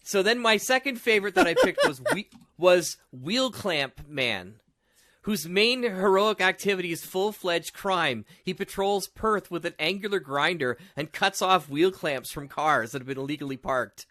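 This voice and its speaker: A man chatting casually as a podcast host, telling stories in an animated way. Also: sits high, normal speed. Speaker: audio drama show host and podcast panelist.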